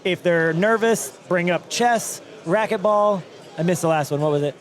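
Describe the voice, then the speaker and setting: A man hosting a hallway interview at a conference, joking, laughing and asking quick follow-up questions. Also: high voice. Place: outdoors at a conference venue.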